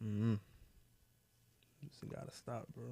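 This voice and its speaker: A man's voice: fairly low pitch, steady tone, gravelly, mellow. A man in his twenties co-hosting a podcast, talking casually and reflectively, rambling with lots of fillers and restarts. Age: 20s. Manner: rambling, halting, many fillers and restarts, says bro. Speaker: podcast co-host.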